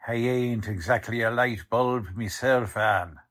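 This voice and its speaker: Man acting a slow-talking, blunt 1940s police lieutenant. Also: mid-range voice, fairly bright tone, lightly acted. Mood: skeptical. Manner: blunt, slangy, sarcastic. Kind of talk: 1940s radio drama acting.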